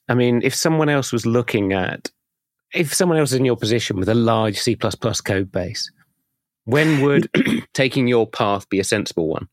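A man's voice: medium pitch, no echo, clean studio sound. A man hosting a podcast, asking curious follow-up questions in a casual interview. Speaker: podcast host.

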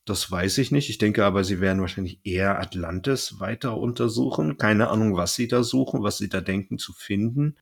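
A man's voice: lowish voice, brisk, gravelly, hardly any pauses, breathy edge. A man chatting casually as a podcast host, thinking aloud and often rephrasing mid-sentence.

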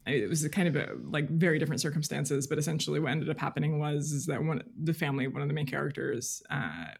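A woman's voice: deep voice, steady tone, quick pace, creaky, breathy edge. Female podcast guest, informal and reflective, works out thoughts as she speaks. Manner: informal, thinks aloud, hedging with "like" and "you know". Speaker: podcast guest, freelance journalist. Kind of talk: reflective interview conversation.

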